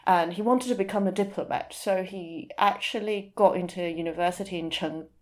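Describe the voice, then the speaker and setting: A woman speaking as an interview guest, explaining things informally and sometimes pausing to search for the right word. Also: on the low side.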